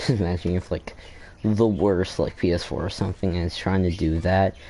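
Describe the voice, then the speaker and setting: A male gamer with a fairly low voice comments casually on his play. Faint game ambience sits behind him. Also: steady tone, normal speed, mellow, a little hiss or hum. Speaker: gamer, channel host.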